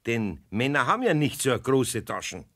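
A man's voice: medium pitch, big pitch swings, moderate pace, bright, forceful tone, exaggerated voice. An actor playing a good-natured, sceptical middle-aged master craftsman with a Bavarian accent in a children's radio play, placating and down-to-earth.

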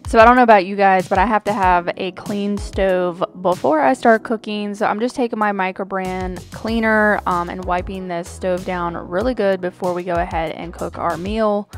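A woman in her thirties giving a relaxed, chatty voice-over with many fillers. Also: mid-range voice, moderate pace, few pauses. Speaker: homemaking channel host. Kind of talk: casual voice-over narration. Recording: voice-over with faint music underneath.

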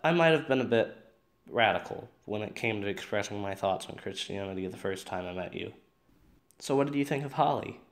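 A man's voice: on the low side, lively intonation, fairly quick. A man playing a blunt but caring teenage boy in an audio drama, direct and conversational. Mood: challenging.

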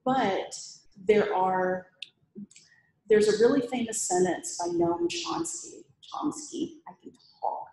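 A woman's voice: mid-range voice, slow. A woman giving a chatty, humorous talk to a meetup audience and taking questions. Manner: lively, chatty, self-deprecating humor. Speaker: conference speaker. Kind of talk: presentation talk with Q&A. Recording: echoey room.

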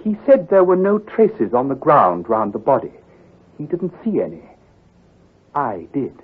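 An actor playing an earnest, troubled country doctor who speaks gravely and precisely, with some hesitation, in old-time radio drama style. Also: male voice, medium pitch, big pitch swings, unhurried. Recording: muffled, husky old radio recording.

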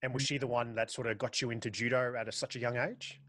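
A man's voice: medium pitch, fairly even intonation, fast talker, sharp tone, dry recording. A man in his thirties hosting a podcast interview, asking questions in an upbeat way. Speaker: podcast host. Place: home studio. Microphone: studio mic with pop filter. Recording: dry close studio mic.

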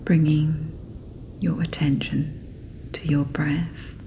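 A woman narrating a guided sleep meditation in a soft, breathy, whispery, soothing manner. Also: low voice, level tone, very slow, muffled recording, slight background noise.

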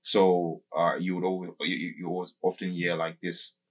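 Low, husky male podcast guest. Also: level tone. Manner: halting, often says "like" and circles back. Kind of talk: casual explanatory interview talk. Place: small indoor podcast studio. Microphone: desk microphone close up, with headphones.

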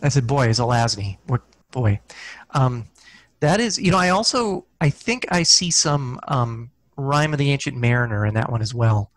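A man's voice: medium pitch, expressive, normal speed, no echo. A man in his forties, an author guest on a video call, talking casually with many ums and restarts through a headset mic in a small office. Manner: hesitant, many ums and restarts, gestures with hands. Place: small office with corkboard, indoors.

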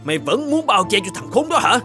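A man voicing a stern, hot-tempered father in an animated folktale. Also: sits high, a little fast, heavily acted. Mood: furious. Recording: music bed under the voice.

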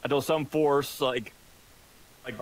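A man's voice: medium pitch, fairly even intonation. A man with a mid-range voice explains his character to the table in a role-playing game. He stumbles and restarts as he searches for words. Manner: halting, many restarts and fillers. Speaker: player.

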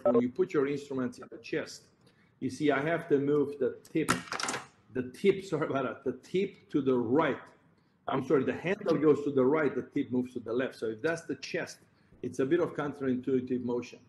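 A man's voice: medium pitch, darkish tone. A man with a Romanian accent, a heart surgeon giving a conference presentation, explaining step by step and correcting himself as he points at slides. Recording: roomy echo, faint background noise.

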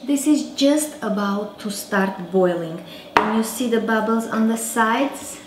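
A woman's voice: a little high, a little slow, background noise. A woman in her thirties hosting a home cooking show, chatting casually as she cooks in her kitchen. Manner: chatty, talks while cooking. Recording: roomy kitchen with faint clatter.

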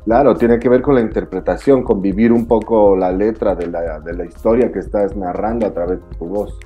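A male host in his forties asks long, effusive questions over a video call, stringing clauses together with fillers. His voice is very dark and mellow, fairly low. Faint music sits behind him. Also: fairly quick. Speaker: interviewer, host. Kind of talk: casual interview questions.

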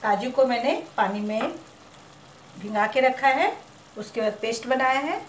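Calm woman cooking host in roomy kitchen. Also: on the high side, measured pace, slight background noise. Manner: calm, instructive, mixes English cooking words into Hindi. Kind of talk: step-by-step recipe narration.

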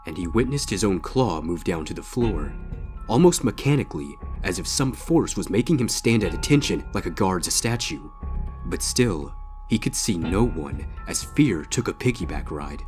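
A man's voice: deep voice, a little mellow. A man narrating a scripted story in a dramatic reading style.

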